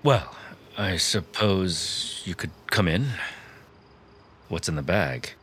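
Condescending office boss, audio drama, low voice, swooping intonation, halting, self-absorbed. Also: male voice, lively intonation, fairly bright tone, slight background noise, exaggerated voice. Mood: wary, curt. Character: smug, condescending male boss, suspicious, self-absorbed, turning giddy. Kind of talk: naturalistic audio drama acting.

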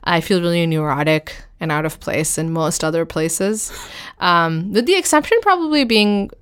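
A woman who grew up in India, a theatre director, chatting casually as an interview guest in a halting, self-deprecating way, with many fillers and laughs. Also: lowish voice, animated, fairly bright tone, smooth.

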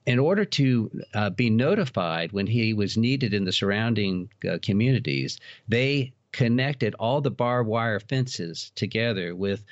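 A man's voice: fairly low pitch, animated, measured pace, few pauses. A man talking casually as an interview guest, telling rambling, enthusiastic anecdotes. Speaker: guest, collector and writer. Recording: dull, roomy sound.

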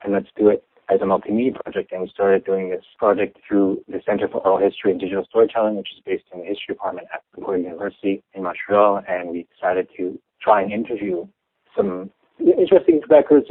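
A young Canadian man answering interview questions in a measured, explanatory way, with some restarts. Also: fairly low pitch, vocal fry, no room sound. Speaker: interview guest. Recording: tinny phone line.

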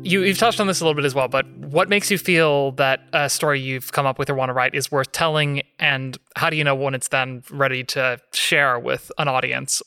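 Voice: mid-range voice, fairly even intonation, fairly quick, few pauses, sharp tone, smooth, dry recording. A podcast host introducing guests and putting questions to them. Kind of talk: scripted podcast hosting.

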